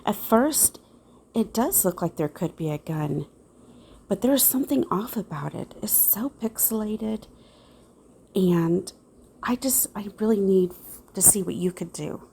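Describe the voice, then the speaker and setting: A woman's voice: mid-range voice, lively intonation, gravelly, mellow, slight background noise. A woman acting as an earnest investigator in an old time radio style mystery, speaking emphatically about evidence. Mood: doubtful. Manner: slow, emphatic. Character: earnest female investigator questioning suspect evidence.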